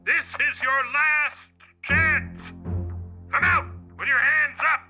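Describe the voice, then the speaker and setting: A man acts out a commanding lawman in a comic radio play. His voice is very high and deliberate, official and stern, in a dull band-limited sound over music. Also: fairly even intonation. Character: stern male FBI agent ordering a surrender. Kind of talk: comic audio drama acting.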